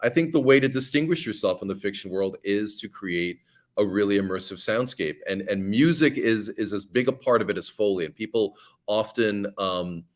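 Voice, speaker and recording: A man's voice: lowish voice, normal speed. A man speaking as a guest on a podcast, chatty and giving advice with frequent ums and restarts. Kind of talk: casual podcast conversation.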